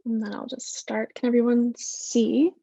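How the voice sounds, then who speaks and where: A woman with a Canadian accent presents over a video call. Her voice is husky, with a gravelly creak. The audio is dry and dull. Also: fairly high pitch, level tone, a little slow, mellow, no room sound. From west coast of Canada. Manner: friendly, many fillers and self-corrections. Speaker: guest speaker, postdoctoral researcher. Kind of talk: online presentation talk.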